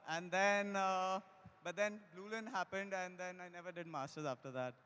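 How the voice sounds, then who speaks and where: A man's voice: high voice, moderate pace, sharp tone, clear, resonant tone. A man in his twenties from India with an Indian accent, casually addressing an audience as a panelist. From Pune, India. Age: 20s. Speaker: startup designer, panelist. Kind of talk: casual self-introduction. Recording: echoey event hall.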